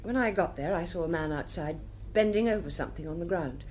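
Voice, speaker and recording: A woman's voice: lowish voice, fairly quick, a little mellow, a little hiss or hum, lightly acted. An actress playing a fragile, guarded young upper-class English lady witness in a British radio drama, speaking hesitantly.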